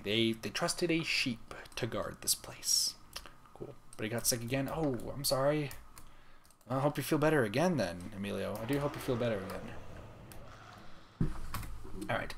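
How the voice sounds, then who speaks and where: A young man streaming a game late at night, talking softly and calmly, almost whispering so as not to wake anyone. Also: medium pitch, vocal fry, noisy background. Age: young adult. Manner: soft, calm, near-whispering. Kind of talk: casual gaming stream chat. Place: room at home, late at night.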